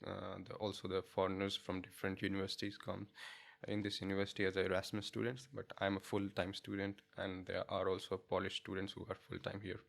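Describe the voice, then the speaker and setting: Low-voiced Indian man, hesitant. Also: monotone, a little fast, creaky, fairly bright tone. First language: not English. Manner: hesitant, many fillers and restarts, laughs easily. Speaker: guest, international student. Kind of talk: casual interview chat.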